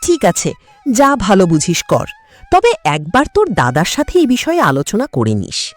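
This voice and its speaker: A woman's voice: medium pitch, huge pitch swings, normal speed, faint music behind. A woman voicing a disapproving older mother-in-law in a village cartoon, scolding and dismissive. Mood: grudging. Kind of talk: cartoon voice acting.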